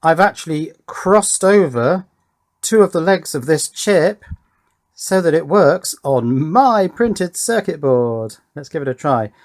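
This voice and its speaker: A man's voice: on the high side, lively intonation, slow. A man giving a casual hands-on electronics demonstration, thinking aloud in a chatty way and often putting little questions to himself. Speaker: host of an electronics workshop video.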